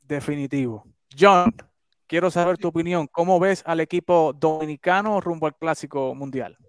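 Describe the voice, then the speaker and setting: A man moderating a sports panel, brisk and affirming as he hands questions to guests. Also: on the high side, clear, resonant tone, no room sound, clean studio sound. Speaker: panel host.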